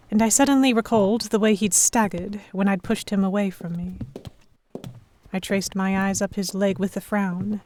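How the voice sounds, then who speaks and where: A woman's voice: medium pitch, darkish tone, slight background noise, natural voice. A woman playing an earnest, emotive noblewoman heroine who narrates an audio drama in the first person. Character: delicate young noblewoman, vexed, earnest, stubborn romantic heroine.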